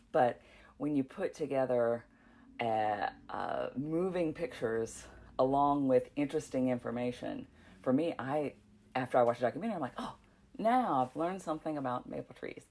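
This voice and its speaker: A woman's voice: a bass voice, creaky, a little mellow. A woman from North Carolina, a documentary filmmaker and professor, speaking in a podcast interview and explaining her ideas with everyday examples.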